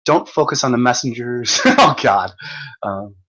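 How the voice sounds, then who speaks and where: A man hosting a livestream, ranting in a profane, stumbling, repetitive way while reading comments, his voice going hoarse. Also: medium pitch, animated, a little slow, bright, forceful tone. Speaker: livestream host. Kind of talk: rambling livestream rant.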